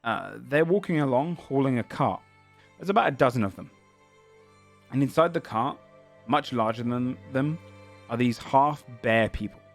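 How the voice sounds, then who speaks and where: A man's voice: mid-range voice, animated, moderate pace, pauses often, soft background music. A man narrating a tabletop game as game master, describing the scene in a searching, descriptive way.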